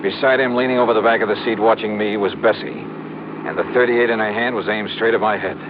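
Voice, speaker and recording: An American actor playing a cool, wry hard-boiled investigator and narrator in an old-time radio detective drama, laconic and unflappable. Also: male voice, fairly low pitch, fairly even intonation, quick pace, natural voice. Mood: grim narration. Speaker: lead character and narrator. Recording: muffled, very noisy vintage radio recording.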